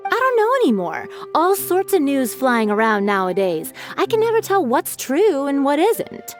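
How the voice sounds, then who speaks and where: Teasing townswoman; higher, animated voice over faint game music. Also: moderate pace. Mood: gossipy. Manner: chatty, teasing.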